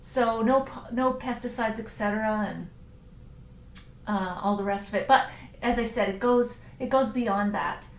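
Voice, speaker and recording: A woman's voice: on the high side, a little slow, echoey room. A woman hosting a casual live stream, chatting about wine and answering viewers' comments as they come in, with frequent ums and self-corrections.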